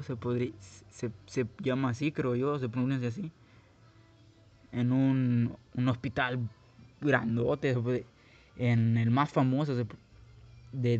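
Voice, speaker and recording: Casting: young Guatemalan man, loose rambling storyteller, mid-pitched, dull home-mic sound. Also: darkish tone. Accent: Guatemalan Spanish. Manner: rambling, hesitant, many restarts and fillers. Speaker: podcast host. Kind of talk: casual personal storytelling.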